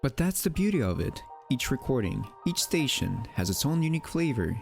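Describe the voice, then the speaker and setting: A man's voice: medium pitch, sing-song, a little fast, vocal fry, faint music behind. A man delivering scripted documentary-style narration in a theatrical manner, with playful asides and rhetorical questions. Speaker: narrator and presenter of a mystery video channel.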